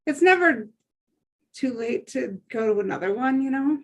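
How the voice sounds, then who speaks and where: A woman with a slightly high voice speaks in a casual group conversation. Her delivery is plain and matter-of-fact. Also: lively intonation, moderate pace.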